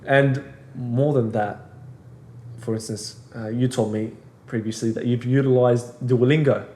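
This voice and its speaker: A man from Australia co-hosting a podcast, agreeable and relaxed, often trailing off softly. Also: medium pitch, unhurried. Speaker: podcast co-host. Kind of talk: casual podcast discussion. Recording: echoey room.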